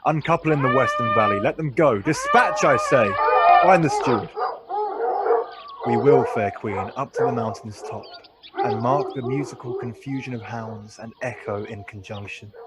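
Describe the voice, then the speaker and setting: Noble duke played by a man, mid-pitched, authoritative and grand, radio Shakespeare. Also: normal speed, close to an everyday voice. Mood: commanding. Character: noble duke, commanding, genial, proud. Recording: faint noise with birdsong outdoor ambience.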